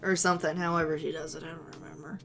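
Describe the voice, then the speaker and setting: A woman's voice: deep voice, little pitch movement, rapid-fire, vocal fry, fairly bright tone, soft background music. A woman streamer chatting casually with her viewers, rambling and giggling, her voice a little worn as if she is losing it. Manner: giggly, rambling, self-teasing.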